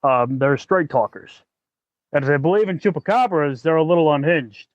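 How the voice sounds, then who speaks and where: Male podcast host, slightly high-pitched, casual and explanatory, halting delivery, close dry sound. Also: animated, dry recording, lo-fi sound. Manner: halting, thinking aloud. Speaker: working actor.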